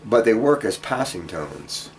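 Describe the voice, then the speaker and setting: A man giving an informal guitar lesson, speaking haltingly as he thinks aloud and demonstrates. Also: fairly low pitch, big pitch swings. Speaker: guitar teacher. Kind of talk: informal instructional music lesson. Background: acoustic guitar playing.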